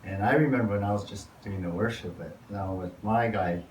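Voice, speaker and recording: A man's voice: on the low side, brisk. A man, a pastor, leading a casual workshop Q&A and rambling relaxedly with lots of "you know.". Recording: roomy, far from mic, noisy.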